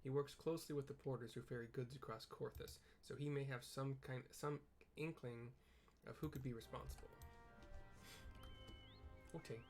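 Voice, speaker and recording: A man's voice: medium pitch, fairly even intonation, moderate pace, long pauses, soft background music. A man hosting a gaming video, chatting casually and thinking aloud as he plays and reads game text. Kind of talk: casual chat while playing and reading game text aloud.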